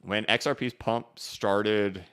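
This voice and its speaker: Low smooth-voiced dude on a live stream, rambly and chill, lots of likes and restarts. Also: male voice, fairly even intonation, slow pace, a bit bright. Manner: casual, rambling, many restarts and fillers. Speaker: crypto livestream host. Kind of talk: live-stream chat reading super chats. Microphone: studio microphone.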